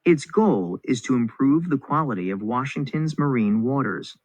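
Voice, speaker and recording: A man reads scripted educational material as a narrator, in a clear instructional manner. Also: medium pitch, lively intonation, moderate pace, a little mellow, dry recording. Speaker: narrator reading a textbook passage. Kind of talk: scripted educational read-aloud.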